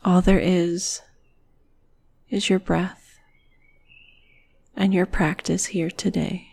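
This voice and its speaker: Woman, guided meditation, very slow pacing, even soft intonation, soothing, trailing into whispers. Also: fairly low pitch. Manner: soothing, breathy, phrase endings trailing into whisper.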